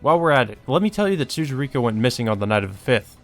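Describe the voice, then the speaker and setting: A man's voice: medium pitch, very animated, very fast, lightly acted. Man reading as a wry, chatty male friend. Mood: wry, informative. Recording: music behind the voice.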